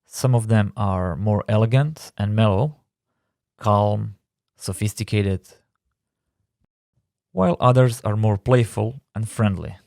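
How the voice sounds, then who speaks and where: Croatian-accented man explaining design calmly. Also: lowish voice, vocal fry, dry recording, clean studio sound. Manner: measured, explanatory, slightly non-native phrasing. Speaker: design instructor. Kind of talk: scripted tutorial narration.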